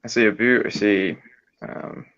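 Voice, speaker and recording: A man's voice: on the low side, fairly even intonation, measured pace, fairly bright tone. A man from Colorado chatting casually in a group, easygoing and a bit rambling. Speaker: chat participant. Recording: dull lo-fi recording.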